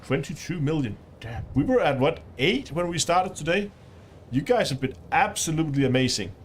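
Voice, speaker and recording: A man's voice: fairly high pitch, very animated, moderate pace, slight background noise. Chatty Danish man streaming a game. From Denmark. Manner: chatty, reads out chat, laughs often. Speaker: livestream host. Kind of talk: casual gaming livestream chat.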